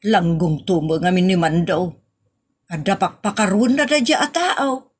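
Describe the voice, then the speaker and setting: A woman's voice: lowish voice, measured pace, slightly rough. A woman playing a practical, persuasive wife in an Ilocano comedy audio drama, conversational and with an Ilocano accent.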